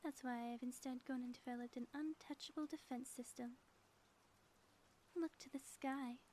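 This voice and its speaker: Woman playing a high-voiced theatrical ex-supervillainess. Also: steady tone, moderate pace, dark tone, a little hiss or hum, close to an everyday voice. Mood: grandiose, teasing. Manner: theatrical, formal grandiose diction. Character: theatrical ex-supervillain woman, grandiose schemer, lovestruck devoted spouse. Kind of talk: ASMR audio roleplay.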